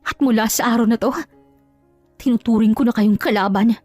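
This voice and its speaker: Casting: female reader as vengeful young heroine, emotional and fiery, high pitch, dry audio-drama sound. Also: steady tone, brisk, a little mellow, no room sound, soft background music, lightly acted. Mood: defiant, angry. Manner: emotional, defiant. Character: wronged young woman, proud, fiery, vengeful daughter.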